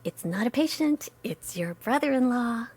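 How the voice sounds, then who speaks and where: A woman's voice: a little high, animated, normal speed, darkish tone, breathy edge. An actress playing a blunt, salty small-town woman with a folksy, wry manner in an audio drama. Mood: matter-of-fact.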